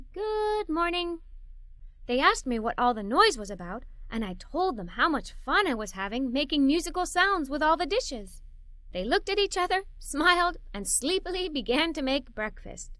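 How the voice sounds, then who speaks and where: A woman voicing an excitable, curious little girl who tells a children's story in a playful, expressive way. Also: sits high, no echo, exaggerated voice. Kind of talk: scripted children's story read-aloud.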